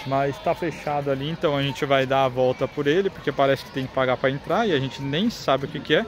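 A man's voice: medium pitch, quick pace. A man in his thirties with a Brazilian Portuguese accent, casually vlogging outdoors from a phone at arm's length. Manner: chatty, pointing things out while walking. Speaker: travel vlogger. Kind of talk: casual vlog narration. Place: outdoors in a busy town square and park. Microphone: phone held at arm's length. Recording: crowd and street noise.

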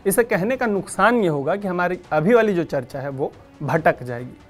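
Lively male news presenter over music. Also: sits high, moderate pace. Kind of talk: explanatory news presentation to camera. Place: indoor news studio. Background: background music.

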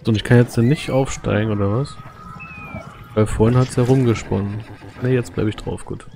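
Male game streamer, lowish gravelly voice, casual and colloquial delivery, clear game music underneath. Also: steady tone, moderate pace, background noise. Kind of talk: casual live gameplay commentary.

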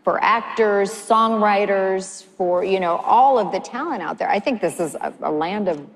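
A woman in her forties, a news anchor, moderating a panel on stage in a chatty, animated way with a lapel mic. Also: medium pitch, moderate pace, creaky. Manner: animated, gesturing, chatty with fillers. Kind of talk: conversational panel talk. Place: large hall stage. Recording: long hall reverb, faint room noise.

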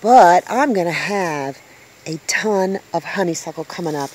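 A woman's voice: lowish voice, animated, measured pace, a little mellow, poor audio. A woman making a homestead vlog, chatting casually to her viewers as she shows a cooking step by step. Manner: chatty, upbeat, talks to viewers as folks. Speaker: homestead vlogger. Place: indoors in a small tiny-house kitchen.

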